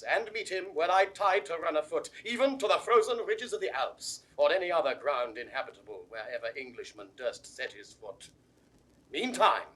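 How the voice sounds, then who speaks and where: An actor playing a defiant, indignant knightly duke in impassioned Shakespearean verse. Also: male voice, medium pitch, fairly bright tone, breathy edge, heavily acted, rough recording. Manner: impassioned declamation with swelling emphasis. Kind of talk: classical Shakespearean verse acting, 1960s recording.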